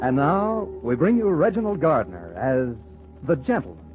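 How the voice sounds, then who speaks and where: Male radio announcer, theatrical old-time style, higher pitched, over loud music. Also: huge pitch swings, normal speed, narrow, tinny audio. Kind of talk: 1940s dramatic radio announcing.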